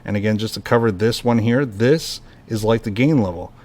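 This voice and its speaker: Relaxed man walking through a gear demo. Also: lowish voice, normal speed. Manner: relaxed, explanatory, talks through each step while adjusting knobs. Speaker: product tutorial host. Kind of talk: casual hands-on equipment demo.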